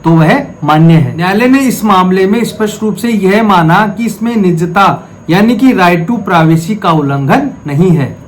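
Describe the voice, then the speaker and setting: A man's voice: high-pitched, a little hiss or hum. A man giving a formal, methodical explanatory legal lecture, restating key points as he goes. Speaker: legal explainer, video host.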